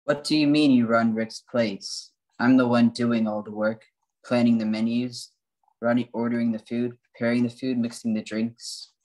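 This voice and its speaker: A man playing a grumpy, sarcastic robot bartender with a flat robotic delivery in an amateur radio play. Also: mid-range voice, moderate pace, close to an everyday voice, low-quality recording. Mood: grumbling. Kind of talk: amateur old-time radio play acting.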